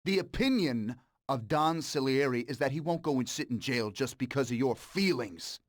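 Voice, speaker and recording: A man's voice: medium pitch, expressive, moderate pace, close to an everyday voice. An actor playing a smug, cold-blooded mobster in a game cutscene, mocking and sardonic as he menaces an old partner. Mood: coldly sardonic. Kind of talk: dramatic video game cutscene acting.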